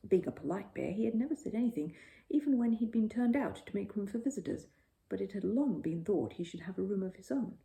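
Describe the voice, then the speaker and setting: A woman narrating a children's bedtime story in an expressive storytelling style. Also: mid-range voice, fairly quick, creaky, rough recording.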